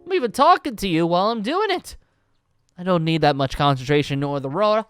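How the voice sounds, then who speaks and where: A man's voice: high-pitched, big pitch swings, fairly quick, fairly bright tone, smooth, dry recording, clean studio sound. A man playing a boastful, jovial ancient dragon slayer wizard in a theatrical, formal manner for an improvised Minecraft roleplay. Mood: boastful, playful. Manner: theatrical, grandly formal, chuckling. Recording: background music under the voice.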